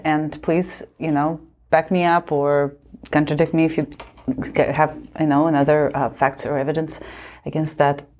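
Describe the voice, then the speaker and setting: Low-voiced woman explaining earnestly. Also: darkish tone. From Kazakhstan. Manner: earnest, explanatory, laughs lightly. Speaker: panelist. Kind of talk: panel discussion.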